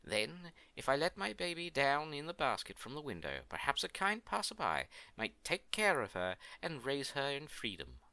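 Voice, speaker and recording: Captive fairy-tale queen played by a man: tender, pleading, dramatic, with a bright, slightly creaky tone. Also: mid-range voice, huge pitch swings, normal speed, hardly any pauses, no echo, heavily acted. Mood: yearning, hopeful. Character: gentle, anguished imprisoned queen and devoted young mother. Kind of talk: storybook character voice.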